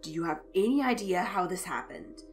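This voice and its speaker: A woman's voice: fairly low pitch, lively intonation, a little fast, soft background music, lightly acted. A woman voicing a blunt, irritable young male college student with a curt, exasperated manner in an audio story.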